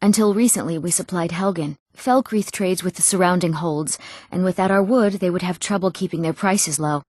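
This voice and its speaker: An actress voicing an eager, earnest young townswoman in a fantasy video game, speaking clearly and expressively. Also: lowish voice, normal speed, darkish tone, dry recording, studio quality, natural voice. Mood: plain, inviting. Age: young adult. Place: recording studio.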